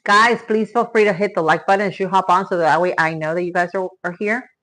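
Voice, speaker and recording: Lower-pitched woman chatting while cooking. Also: fast talker, fairly bright tone. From born in Spain to Cuban parents, raised in Florida from age ten. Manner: chatty, exclaiming, thanking viewers as she works. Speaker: live stream host cooking at home. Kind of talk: casual chat with live viewers. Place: home kitchen.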